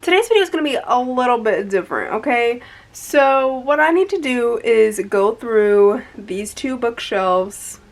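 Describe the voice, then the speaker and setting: A woman's voice: on the high side, lively intonation, measured pace. A young woman with a congested, sniffly voice, chatting blunt and playful to camera about her bookshelves in her bedroom. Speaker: booktuber host. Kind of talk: casual chat to camera.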